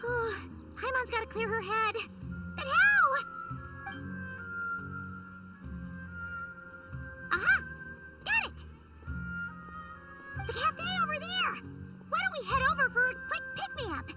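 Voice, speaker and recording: A woman voicing a tiny, excitable, easily exasperated fairy girl in a video game, with a squeaky childlike voice and dramatic manner. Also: a little slow, long pauses, recorded from a distance, exaggerated voice. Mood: frantic, excited. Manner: dramatic, refers to herself by name. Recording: background game music.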